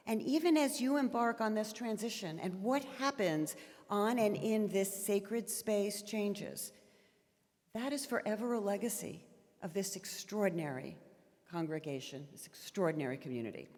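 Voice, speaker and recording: A woman in her fifties from Miami giving an earnest, emphatic speech to a congregation, with heartfelt pauses. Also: mid-range voice, a little mellow. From Miami, Florida. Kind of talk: prepared speech from a stage. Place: large sanctuary with long reverb. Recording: reverberant sanctuary address.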